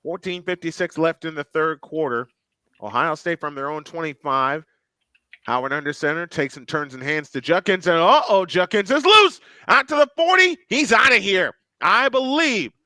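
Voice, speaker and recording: Bright excited play-by-play man. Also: on the high side, moderate pace, no echo. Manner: excitable, rises sharply on big plays. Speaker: play-by-play commentator. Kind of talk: live game commentary with banter.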